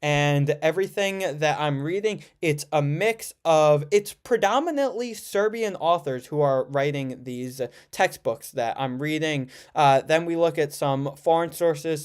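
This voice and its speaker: A man's voice: a little high, a little mellow. A man of about twenty with an American accent, chatting informally on a livestream and often correcting himself as he rambles. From United States. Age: around 20. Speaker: livestream host, student. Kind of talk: casual livestream chat answering viewer questions.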